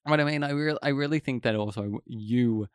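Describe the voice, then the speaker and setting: A man's voice: mid-range voice, normal speed, clean tone, dry recording, clean studio sound. A man with an Australian accent hosting a casual podcast chat, rambling and chatty with lots of fillers and restarts. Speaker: podcast host.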